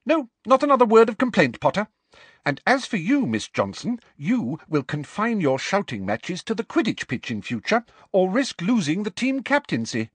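A man voicing a stern older female professor in an audiobook, with a sharp, clipped, scolding manner. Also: mid-range voice, sing-song, moderate pace, husky, no room sound, voice pushed up. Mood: tart scolding. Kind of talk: audiobook character voice.

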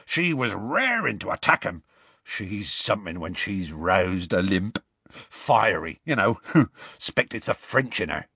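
Gruff, rustic big fellow. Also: male voice, fairly low pitch, very animated, measured pace, bright, forceful tone, no echo, heavily acted. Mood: fond. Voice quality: gruff, growly. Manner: colloquial, rambling, dropped consonants. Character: big gruff rustic man, warm-hearted, indignant storyteller.

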